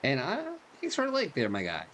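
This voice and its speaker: A man's voice: mid-range voice, huge pitch swings, brisk, a bit bright, a little hiss or hum. A man doing casual livestream game commentary, reacting with slangy, joking asides. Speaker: game streamer. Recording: game music behind the voice.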